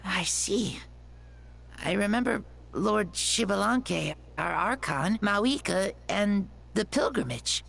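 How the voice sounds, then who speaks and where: A creaky-voiced, earnest young boy. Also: mid-range voice, expressive, slow, fairly bright tone, a little hiss or hum, exaggerated voice. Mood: dazed wonder. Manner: hesitant, wondering. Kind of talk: video game voice acting.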